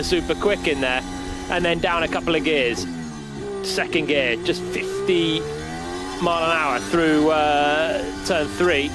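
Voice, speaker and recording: A man giving live motor racing commentary and analysis. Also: a little high.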